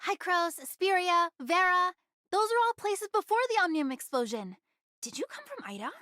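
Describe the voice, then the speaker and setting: A woman's voice: very high voice, animated, normal speed, pushed and bright, no room sound, studio quality, heavily acted. A woman voicing a bossy, sly young woman in an anime game, commanding and teasing in manner. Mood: suspicious, bossy.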